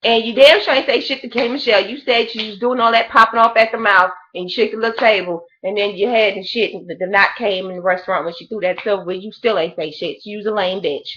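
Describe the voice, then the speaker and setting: A woman's voice: mid-range voice, fairly even intonation, quick pace, hardly any pauses, a bit bright. Woman in her 30s ranting about a reality show, swears a lot, rambles, dull lo-fi sound. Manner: blunt, profane, rambling. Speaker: reality TV reviewer. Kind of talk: casual gossip commentary.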